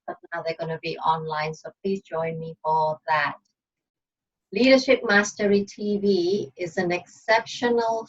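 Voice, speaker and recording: A woman hosting a podcast, presenting her show and guest speaker in an interview format. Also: lowish voice. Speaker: podcast host. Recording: distant mic, dull lo-fi online audio.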